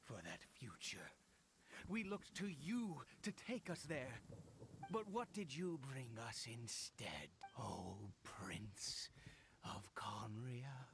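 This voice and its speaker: An actor playing a deranged, fanatical noble cult founder in a video game, grandiose and gloating in manner. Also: male voice, medium pitch, very animated, slow pace, vocal fry, noisy background, exaggerated voice. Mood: scornful, bitter. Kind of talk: dramatic video game voice acting.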